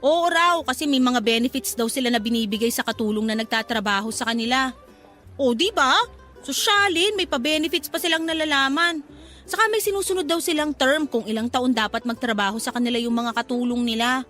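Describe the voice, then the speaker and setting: A woman's voice: sits high, brisk, fairly bright tone, faint music behind. A woman playing a gossipy, pragmatic young shop co-worker in a radio drama, talking in a sassy, slangy way. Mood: chatty.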